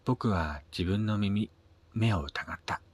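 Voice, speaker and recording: A man with a husky voice narrating a scripted first-person radio drama, his phrase endings trailing into a breathy near-whisper. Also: fairly low pitch, slow pace, dry recording. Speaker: reader of own short story.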